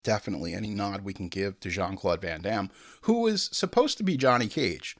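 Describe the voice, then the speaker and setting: A middle-aged American man talks casually as a podcast host, with a lowish voice in a very dry recording. He jokes freely and leans on hyperbole and swearing. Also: very animated, a little fast, no echo. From Long Island, New York, United States. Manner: hyperbolic, joking, profane. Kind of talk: casual humorous monologue.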